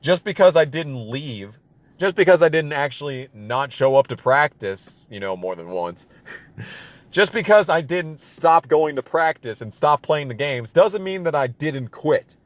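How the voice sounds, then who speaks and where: A man's voice: fairly high pitch, expressive, unhurried, dry recording. Profane, self-deprecating young man ranting casually. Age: young adult. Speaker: storytelling video creator. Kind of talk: casual personal storytime rant.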